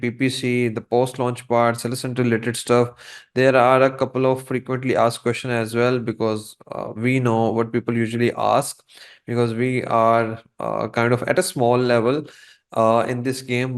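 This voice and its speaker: Instructor type, a man with Urdu or Hindi as his first language, even monotone delivery, mid-pitched, pitching his course in a measured, hesitant manner. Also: clean tone. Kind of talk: promotional explainer to viewers.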